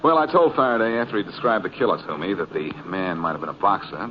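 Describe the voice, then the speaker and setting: An actor playing a confident, wisecracking male amateur detective in a 1940s radio drama, speaking in a wry, smooth way. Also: low-pitched, sing-song, fast talker. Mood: light. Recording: muffled, noisy 1940s radio recording.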